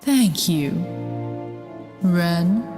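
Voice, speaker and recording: A woman's voice: lowish voice, lively intonation, extremely slow. An actress playing a cold, sadistic general in an audio drama, menacing and mocking in her delivery. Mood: sadistic menace. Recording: long hall reverb, music bed.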